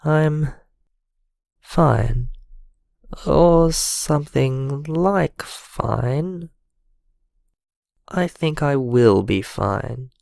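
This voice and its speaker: Male actor as gentle, wounded man in an audio drama voicemail. Very slow, hesitant delivery, close dry sound. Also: fairly high pitch, expressive, vocal fry, no room sound, exaggerated voice. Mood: apologetic, reflective. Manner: halting, hesitant, emotionally vulnerable. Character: traumatised gentle man leaving a heartfelt voicemail.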